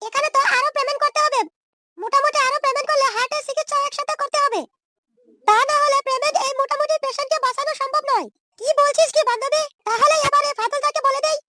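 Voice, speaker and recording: A woman voicing a caring, fussy young hospital nurse in a comedy cartoon, insistent, with a squeaky pitched-up effect. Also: fairly even intonation, quick pace, sharp tone, no room sound, studio quality, faint music behind. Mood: confiding, worried.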